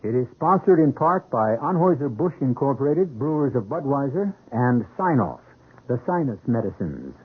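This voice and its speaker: A man's voice: medium pitch, big pitch swings, slightly rough, narrow, tinny audio. A grave male radio mystery narrator. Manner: measured, grave storytelling. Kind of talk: dramatic scripted radio narration. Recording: band-limited old radio broadcast. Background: music.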